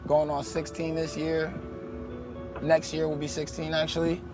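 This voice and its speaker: A man's voice: on the high side, monotone, normal speed, over music. A man, a rapper guest, talking bluntly and profanely in a casual interview. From Albany, New York.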